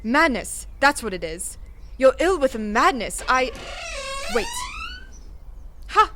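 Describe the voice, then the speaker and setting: A woman's voice: high voice, sing-song, takes it slowly, noisy background, heavily acted. A woman playing an older, haughty queen mother in an audio drama, theatrical and emphatic. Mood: alarmed, scornful. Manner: imperious, theatrical, emphatic repetitions. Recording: music under the voice.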